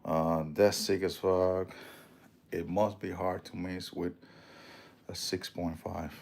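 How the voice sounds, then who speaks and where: A man's voice: sits low, little pitch movement, slow, gravelly, darkish tone, slight background noise. A man with a strong Puerto Rican accent hosting a casual livestream, rambling, swearing freely and answering viewer comments. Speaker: livestream host.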